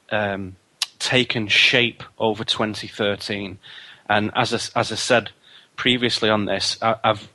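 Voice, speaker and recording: A man speaking as a guest in a radio interview, measured and reflective, often repeating words as he gathers his thoughts. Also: on the low side, sharp tone. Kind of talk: reflective spiritual interview talk.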